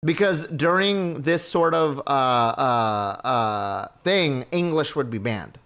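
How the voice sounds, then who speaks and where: A man's voice: mid-range voice, expressive, slow pace, fairly bright tone, clear, resonant tone. A man from Mexico chatting casually with his stream viewers, rambling and thinking aloud as he goes. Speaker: livestream host.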